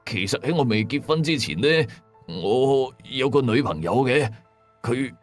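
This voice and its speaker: A man puts on a guilty young Hong Kong father in a radio ghost tale. The words come haltingly, with a nervous stammer. Music sits behind the voice. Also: mid-range voice, lively intonation, voice pushed up, lightly acted. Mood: guilty, hesitant. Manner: hesitant, stammering.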